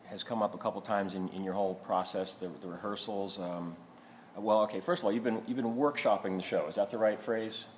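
A man hosting a casual podcast interview in a halting, hesitant way. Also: lowish voice, steady tone, a little hiss or hum. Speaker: podcast host. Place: artist's studio.

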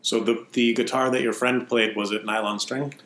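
A man hosting a podcast, reading a measured, descriptive introduction and then interviewing a guest at a busy festival. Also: medium pitch, steady tone, a bit bright, a little hiss or hum. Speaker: podcast host. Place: busy festival venue, stone hall. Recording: roomy, with music behind.

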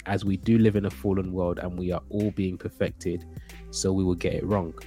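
A man's voice: deep voice, level tone, moderate pace, dark tone, soft background music. A man hosting a casual discussion, reading out viewer comments and affirming others with short remarks.

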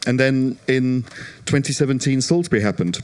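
Slightly croaky British man panelist. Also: mid-range voice, brisk. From United Kingdom. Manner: self-deprecating, animated storytelling. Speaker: panelist, non-profit director. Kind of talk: panel discussion.

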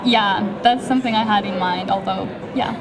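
A young woman who grew up in Japan, a graduate student, speaking softly and hesitantly in a casual interview. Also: medium pitch, normal speed, rough recording. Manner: soft, hesitant, light laughs. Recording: loud crowd noise behind. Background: crowd chatter.

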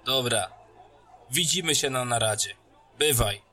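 A man's voice: mid-range voice, unhurried, bright, forceful tone, some chatter behind. A man voicing a jokey captain greeting an old friend in a fantasy audio drama, teasing and friendly.

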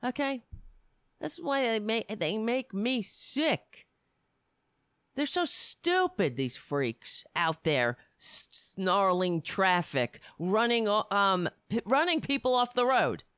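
Raspy, heated political ranter. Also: male voice, sits high, big pitch swings, slow pace, bright, forceful tone, no echo, studio quality. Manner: heated and sarcastic, hurling insults. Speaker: podcast host. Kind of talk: political commentary rant, reading articles aloud.

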